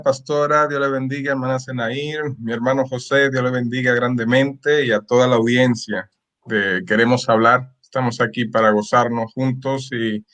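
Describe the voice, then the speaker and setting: Man guest, normal pitch, formal churchy greetings full of blessings. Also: fairly bright tone.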